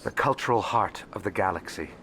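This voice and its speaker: An actor playing a shrewd, wealthy alien information broker in a video game, speaking in a calm, formal, measured way. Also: male voice, low-pitched, slight background noise. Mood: admiring, polished. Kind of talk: video game dialogue acting. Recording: music behind the voice.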